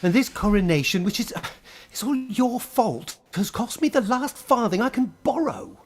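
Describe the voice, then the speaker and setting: Woman acting a peevish, husky-voiced young king. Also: fairly high pitch, animated, moderate pace, a little mellow. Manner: peevish, complaining. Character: petulant, weak, self-pitying young king. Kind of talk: radio play acting.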